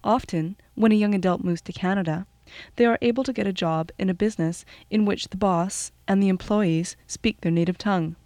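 A woman host reading scripted radio documentary narration in a measured way. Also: mid-range voice, warm tone, no echo. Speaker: program host and reporter.

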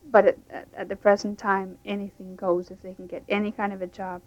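A woman's voice: medium pitch, level tone, brisk. A woman who teaches English to immigrants, explaining her programs in an unscripted, plain-spoken way. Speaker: English language instructor. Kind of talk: interview answers, unscripted. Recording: dull lo-fi radio recording.

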